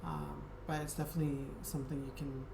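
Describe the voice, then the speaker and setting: A woman hosting a livestream, talking casually to her viewers in a soft, friendly way and often pausing on an um. Her voice sits low. It echoes around the room over a steady background noise. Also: vocal fry. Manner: soft, friendly, hesitant with many ums. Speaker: Twitch streamer.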